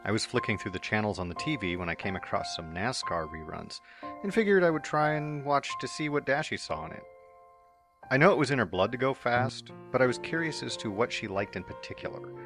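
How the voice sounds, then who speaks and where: A man narrating a story in the first person as a dramatic reading, in a steady, earnest storytelling manner. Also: mid-range voice, fairly quick. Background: soft background music.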